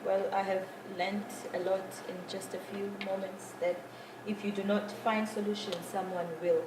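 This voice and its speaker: A South African woman moderating a panel in a large conference room. She sounds a little hesitant and apologetic as she introduces the speakers. Her voice is distant and echoey, with clear background noise. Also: mid-range voice, flat delivery, moderate pace.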